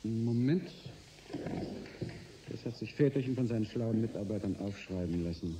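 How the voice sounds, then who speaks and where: An actor in a radio drama playing a shrewd, smug corporation boss, suave, deliberate and condescending. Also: male voice, lowish voice, natural voice. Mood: calculating. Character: shrewd, smug male energy corporation boss negotiating hard. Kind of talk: German radio drama acting. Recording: roomy sound with faint noise.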